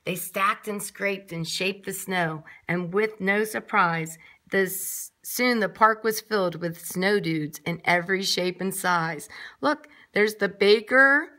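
Female early-years teacher reading a story to little ones: bright, slow, expressive. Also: mid-range voice. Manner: expressive, with asides to children. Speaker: nursery school teacher. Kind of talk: picture-book read-aloud for young children. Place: classroom, indoors.

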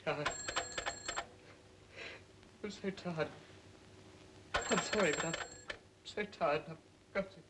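A man's voice: fairly high pitch, takes it slowly, pauses often. Shaken, weary young Englishman. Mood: urgent, exhausted. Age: young adult. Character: shaken, weary, urgent young accident survivor. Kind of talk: 1950s British film acting. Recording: noisy, distant old film soundtrack.

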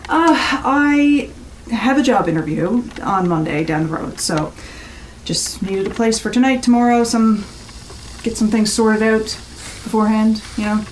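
An actress in an audio drama playing a curt, stressed, critical rental guest, speaking in a blunt, casual and dismissive way. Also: female voice, fairly high pitch, unhurried, a little hiss or hum, natural voice, rough recording. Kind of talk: naturalistic audio drama acting.

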